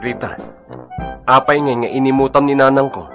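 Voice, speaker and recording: A man's voice: mid-range voice, fairly even intonation, normal speed, fairly bright tone, highs cut off, faint music behind, lightly acted. A man acting an indignant, reproachful husband in a radio comedy drama, with an Ilocano accent. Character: indignant husband scolding his stingy wife.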